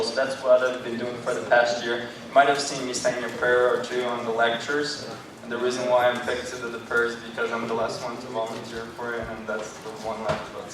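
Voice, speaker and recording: A man's voice: medium pitch, fairly bright tone. A young man from Brazil with a Brazilian Portuguese accent, Portuguese his first language, giving a joking but earnest student campaign speech in a lecture room. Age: young adult. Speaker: student club candidate. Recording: echoey room, mic far off, noisy.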